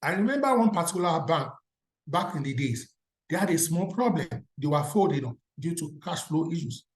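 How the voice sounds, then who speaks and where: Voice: mid-range voice, expressive, fairly quick. A speaker with a Nigerian accent, a native Yoruba speaker, giving a motivational career talk to alumni. The delivery is emphatic, and the speaker often throws rhetorical questions at the audience. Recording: roomy echo.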